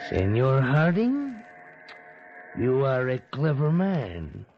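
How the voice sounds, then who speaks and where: Scheming, proud Argentine manager, unhurried formal delivery, lo-fi old-time radio. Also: male voice, medium pitch, huge pitch swings, a little hiss or hum, exaggerated voice. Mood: polite, suspicious. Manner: formal, deliberate courtesy. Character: proud, suspicious Argentine boxing manager, male, slippery schemer. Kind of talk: 1940s radio drama acting. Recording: dull lo-fi old radio recording.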